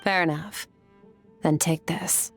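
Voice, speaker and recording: A woman playing a cool, proud young female sword master in a video game, speaking in a detached, deadpan, terse way. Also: deep voice, takes it slowly, faint music behind. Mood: brusque. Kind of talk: video game character acting.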